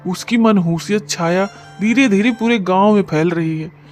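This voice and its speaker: A spiteful village man, played by a man speaks in a high voice. He is mean and accusing, a mocking troublemaker from a cartoon moral story, with faint music behind. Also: normal speed, natural voice. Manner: malicious, accusing. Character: mean village man who mocks others' misery.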